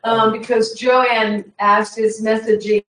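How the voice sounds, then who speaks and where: Woman, high voice, slow easygoing chat, echoey room, far from the mic. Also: flat delivery, fairly bright tone. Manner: chatty and easygoing, laughing often. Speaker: co-host of an online Bible study. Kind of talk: casual chat.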